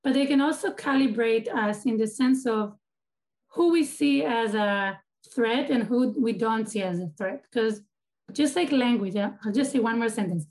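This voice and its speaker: A woman's voice: a little high, moderate pace, darkish tone. A woman from Israel whose first language is Hebrew, an academic and activist giving thoughtful interview answers and often restarting her sentences.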